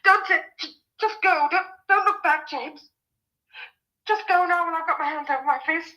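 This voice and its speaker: An anxious, devoted farm woman in a radio play. Her voice is high and bright, her delivery emotional, halting and urgent. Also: poor audio. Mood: urgent, pleading. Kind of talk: radio drama acting.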